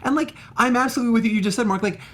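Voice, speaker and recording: A man's voice: very high voice, racing, fairly bright tone. Male co-host for loose review banter, emphatic and slangy, tends to repeat himself for stress. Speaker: co-host of a movie review show. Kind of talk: casual review chat.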